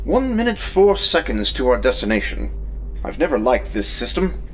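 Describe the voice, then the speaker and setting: A man's voice: mid-range voice, sing-song, normal speed, a little hiss or hum, lightly acted, rough recording. A man voicing a dry, literal-minded starship artificial intelligence in a scripted sci-fi audio drama, precise and wry. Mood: dry, grumbling. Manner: precise, matter-of-fact, wry.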